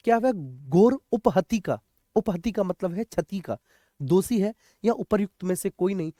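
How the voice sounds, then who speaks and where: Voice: medium pitch, expressive, normal speed, dark tone, dry recording, clean studio sound. An exam coaching teacher, lecturing students familiarly, repeating key points and often checking that they understand. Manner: addresses students familiarly as children.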